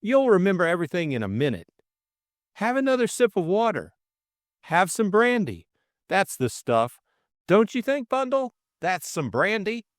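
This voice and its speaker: A man's voice: high voice, big pitch swings, moderate pace, no echo, studio quality, voice pushed up. A man voicing an anxious, besotted young Englishman who fusses and gushes in an audiobook. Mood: anxious, fussing. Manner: gushing, fatuous, solicitous. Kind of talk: audiobook character voice.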